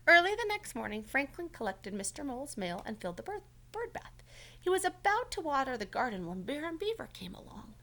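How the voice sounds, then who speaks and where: A woman's voice: sits high, big pitch swings, fairly quick, fairly bright tone. A woman reading a storybook aloud as a teacher, encouraging and stopping to check in with young listeners. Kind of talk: storybook read-aloud with questions to children.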